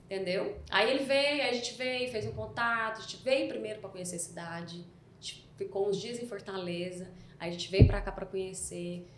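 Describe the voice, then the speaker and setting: A woman with a softened Mineiro accent, a podcast guest telling her story in a rambling, self-correcting way. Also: mid-range voice, steady tone, moderate pace, a little hiss or hum. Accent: Mineiro Brazilian Portuguese, softened. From Minas Gerais, Brazil. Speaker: guest, entrepreneur. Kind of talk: casual podcast chat. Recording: echoey room, faint ambience.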